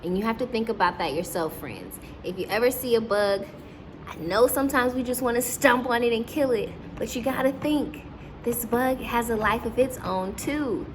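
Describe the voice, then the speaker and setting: A woman's voice: fairly high pitch, moderate pace, a little hiss or hum. A woman teacher reading a story aloud to young children, breaking off to question and explain things to her listeners.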